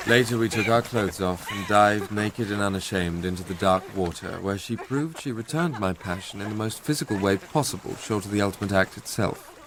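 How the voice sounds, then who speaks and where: Low, reflective English actor as a male narrator. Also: brisk, few pauses, noisy background, natural voice. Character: educated Englishman recalling his romantic obsession, wistful and introspective. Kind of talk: first-person literary radio drama narration.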